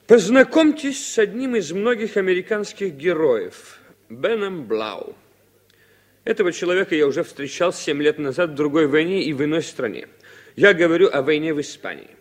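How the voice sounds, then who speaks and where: Casting: pointed official interrogator, higher-pitched male voice, formal, vintage radio play. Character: pointed, formal male committee investigator, coolly prosecutorial. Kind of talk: 1960s Soviet radio drama acting.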